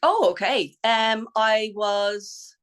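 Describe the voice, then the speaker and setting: A woman's voice: a little high, very slow, fairly bright tone, clear, resonant tone. A middle-aged Irish woman talking as a podcast guest, chatty and frank, laughing easily as she recalls her life as a ballet teacher. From Ireland. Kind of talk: casual interview chat.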